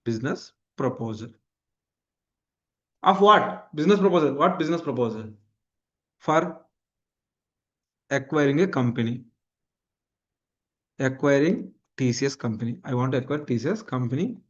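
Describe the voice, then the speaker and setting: A man's voice: mid-range voice, animated, moderate pace, pauses often, fairly bright tone, highs cut off. A man in his thirties with a South Indian accent and Kannada as his native language, teaching an online class with repetition and frequent check-in questions. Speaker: instructor. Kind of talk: live online lecture with screen demonstration.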